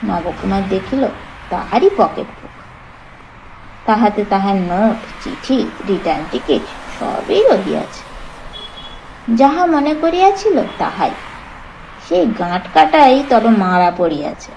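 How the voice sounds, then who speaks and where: A woman reading a literary story aloud as an expressive storyteller, in dramatic audiobook narration. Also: medium pitch, slow pace, creaky, pauses often, a little hiss or hum, rough recording.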